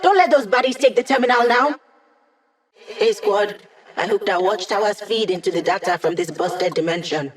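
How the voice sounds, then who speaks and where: A woman's voice: medium pitch, close to an everyday voice. A woman playing a brainy, wry digital hacker in a video game, talking casually and playfully as the squad's tech support. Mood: confident, cheeky. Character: cheeky, brainy female hacker, a digital holographic young woman.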